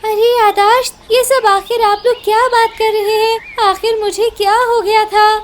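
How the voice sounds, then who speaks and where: A woman's voice: very high voice, little pitch movement, measured pace, slight background noise, voice pushed up. A woman voicing an imperious, bossy female bird in a cartoon, commanding and exclamatory. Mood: confused. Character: bossy, imperious female bird who thinks she rules. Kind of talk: Hindi cartoon voice acting.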